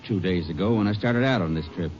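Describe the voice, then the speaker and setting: An actor playing a defensive, stubborn rural feed buyer with a folksy, emphatic delivery in old radio drama. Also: male voice, low-pitched, fast, a little mellow, close to an everyday voice. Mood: wary. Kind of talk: 1940s-50s radio drama acting. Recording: muffled, noisy old radio recording.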